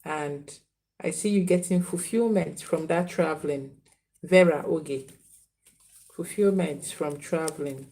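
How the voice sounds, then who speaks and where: A woman's voice: sits low, measured pace, warm tone. A woman giving spiritual readings on a live stream, repeating names and phrases and earnestly scolding viewers. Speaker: spiritual reader.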